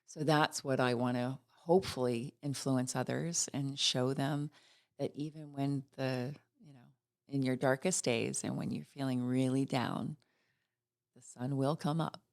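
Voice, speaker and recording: Upbeat middle-aged woman with slight vocal fry. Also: a bass voice, steady tone, measured pace. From Virginia. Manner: warm, upbeat, heartfelt. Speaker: financial executive guest. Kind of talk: casual interview chat.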